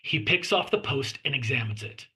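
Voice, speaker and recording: A man's voice: medium pitch, lively intonation, moderate pace, bright, forceful tone, breathy edge. A man reading out scene descriptions as the narrator of a screenplay table read. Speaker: narrator reading stage directions.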